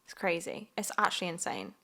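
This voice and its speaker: Creaky-voiced young southern English woman. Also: on the low side, sing-song, brisk, bright, forceful tone. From South of England. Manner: playful, joking. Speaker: podcast co-host. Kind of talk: casual sports podcast chat.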